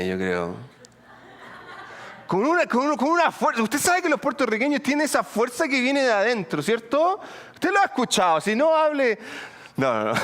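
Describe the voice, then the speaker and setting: A middle-aged man with a Colombian accent, preaching a sermon in an animated, humorous way with asides to the congregation. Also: high voice. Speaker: pastor. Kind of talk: sermon with storytelling.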